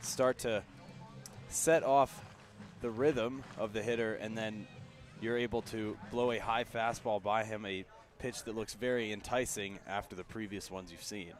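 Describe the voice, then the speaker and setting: A man giving live baseball analysis on the radio in a conversational, slightly halting way, outdoors at a ballpark. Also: lowish voice, fairly even intonation. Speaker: color commentator.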